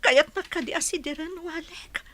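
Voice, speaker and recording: A woman's voice: extremely high pitch, a little slow, a bit bright, slight background noise. A woman with a Filipino accent playing a distressed, confused young bride-to-be in a melodramatic audio drama. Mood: grave.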